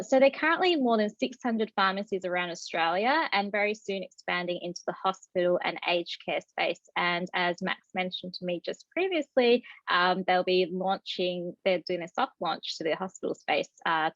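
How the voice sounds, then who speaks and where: A woman's voice: fairly low pitch, moderate pace, hardly any pauses, fairly bright tone, dry recording. Woman hosting a webinar, dull lo-fi sound. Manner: scripted introduction with fillers. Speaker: webinar host.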